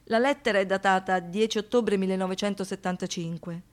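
A woman giving scripted documentary narration for radio theatre, measured and deliberate. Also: mid-range voice, fairly even intonation. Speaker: stage narrator.

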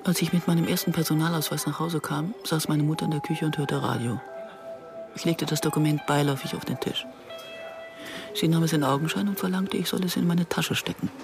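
A woman's voice: low-pitched, fairly even intonation, brisk, gravelly. An actress playing an anxious, introspective woman who narrates a radio drama in the first person. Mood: haunted, reflective. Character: anxious, introspective Israeli woman recalling her past. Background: music.

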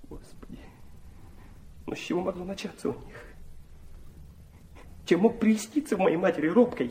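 An actor playing a proud, wounded teenage illegitimate son in a radio drama, speaking in a passionate, bitter, declamatory way. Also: male voice, very high voice, darkish tone.

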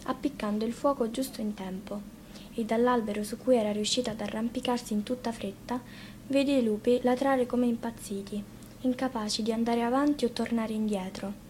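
A woman reads a children's fairy tale from a script. Her voice sits fairly high, and there is faint noise in the recording. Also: steady tone, normal speed, a little mellow. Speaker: storyteller. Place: radio studio.

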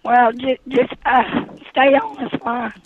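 A woman with a high, screechy, rasping voice comes through a dull, lo-fi phone line. She sounds irritated and answers with threats. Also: level tone, slow, a little hiss or hum. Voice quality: screechy, raspy. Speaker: motel guest receiving a prank call.

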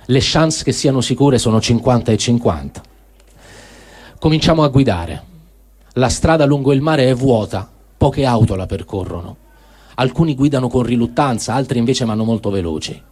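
A man with a husky, slightly breathy voice reads a first-person diary aloud. His delivery is measured and sober, at a middle pitch, steady from line to line. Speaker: reader.

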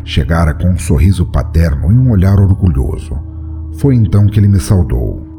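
A very deep, dark and mellow male voice reads a horror tale with dramatic flair, his pitch rising and falling widely. He hosts an audio drama podcast. Soft music plays underneath. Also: normal speed. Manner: dramatic, expressive reading. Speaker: podcast host and narrator. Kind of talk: scripted horror narration.

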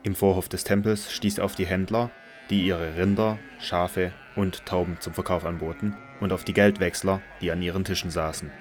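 A man narrating a scripture audio drama in a measured, solemn manner from a script. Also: deep voice, fairly even intonation, faint music behind.

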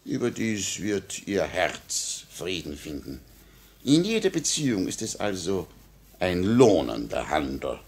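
A cynical police chief in a vintage 1950 radio play. His voice is fairly low and swings widely in pitch. He is suave and theatrically measured, coaxing one moment and mocking the next. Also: big pitch swings, exaggerated voice.

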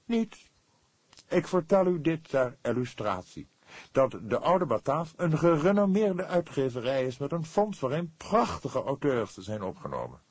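Audiobook role, acted by a man: self-important male publisher, formal, touchy, raised pitch, swooping intonation. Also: very animated, measured pace, a little mellow, breathy edge, dry recording, heavily acted. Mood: pleased, emphatic. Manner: formal, emphatic, pompous. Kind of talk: Dutch audiobook character voice.

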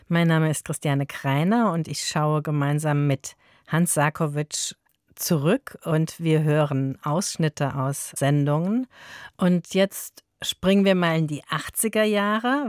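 A woman's voice: low voice, dry recording, clean studio sound. A woman hosting a radio programme, presenting and putting questions in a conversational style. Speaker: radio host. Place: dry studio.

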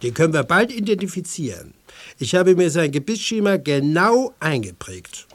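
A self-certain male dentist in a radio play. He speaks precisely and emphatically, somewhat high for a man, with big swings in intonation. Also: very animated, normal speed, a little hiss or hum. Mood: assured.

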